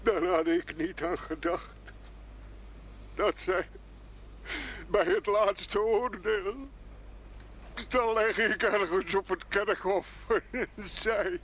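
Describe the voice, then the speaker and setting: A grief-stricken old rustic in a seventies TV drama. His high voice rambles extremely slowly, distraught and lost, over faint noise. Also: a bit bright, heavily acted. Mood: lost, grieving. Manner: halting, rambling, very slow. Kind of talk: 1970s television drama acting.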